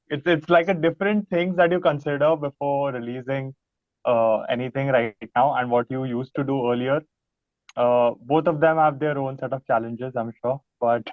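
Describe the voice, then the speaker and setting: A man's voice: mid-range voice, no room sound. A man chatting casually as a band musician being interviewed. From India. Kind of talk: casual chat. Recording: dry, phone-like narrow band.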